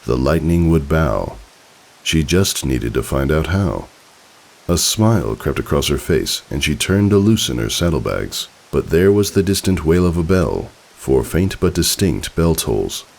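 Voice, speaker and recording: Male narrator, rock-bottom pitch, lively intonation, theatrical scripted storytelling. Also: unhurried, creaky, rough recording. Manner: expressive, theatrical. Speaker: fan fiction narrator.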